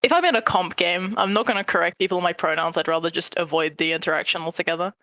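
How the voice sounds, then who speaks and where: A young adult team manager whose voice is often heard as a girl's, speaking in a measured, thoughtful way on a casual panel. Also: medium pitch, sharp tone, no room sound. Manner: measured, thoughtful, some ums.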